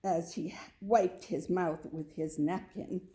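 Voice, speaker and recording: A woman's voice: lowish voice, steady tone, takes it slowly, warm tone. A woman reading a picture book aloud to children in a storytelling style.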